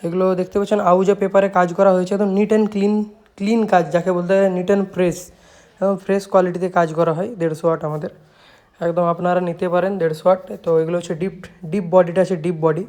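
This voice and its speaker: A man's voice: high-pitched, little pitch movement, fast talker, darkish tone. A man with a West Bengali accent giving an informal product showcase, repeating his sales points and often adding little check-in tags. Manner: repetitive sales talk with frequent check-in tags. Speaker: shop owner presenting own speakers.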